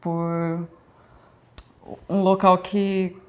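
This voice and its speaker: Young woman from Brazil, performance artist; calm, level, introspective interview voice. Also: lowish voice, drawn-out words. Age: 20s. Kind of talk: reflective documentary interview.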